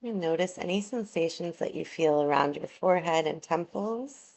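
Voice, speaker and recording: A woman guiding a yoga relaxation meditation, giving soothing, measured instructions with long pauses between phrases. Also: on the low side, gravelly, a little mellow.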